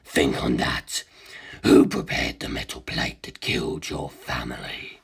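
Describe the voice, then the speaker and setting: A narrator voicing an ancient, arrogant superhuman male villain in an audiobook, lecturing humans with cold contempt in a rasping, breathy growl. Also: a bass voice, measured pace, pitched below the performer's own voice, full character voice. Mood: stern. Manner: condescending, lecturing, disdainful. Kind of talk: audiobook character dialogue.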